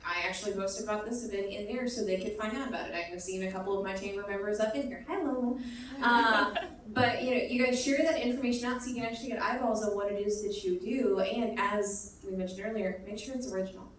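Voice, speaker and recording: A woman panelist gives advice in an emphatic, chatty way, often checking in with her listeners. The sound is a reverberant hall, with the mic well away from her and a soft wash of room noise underneath. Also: medium pitch, fast. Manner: emphatic, chatty, keeps saying okay. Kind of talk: panel discussion answer. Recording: echoey hall, mic far off.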